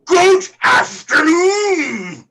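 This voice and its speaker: A bitter old miser in a radio drama, raspy and scornful. His high-pitched delivery swings widely and comes out slow and emphatic. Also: sing-song, noisy background, full character voice. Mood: curt, angry. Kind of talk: theatrical radio play acting.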